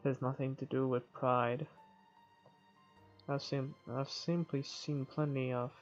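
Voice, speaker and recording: Gamer reading a visual novel out loud, medium pitch, trips on words a bit, music behind. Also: flat delivery, measured pace. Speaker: video game playthrough narrator. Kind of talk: reading visual novel narration aloud with offhand comments. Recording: muffled recording with music behind. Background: game music.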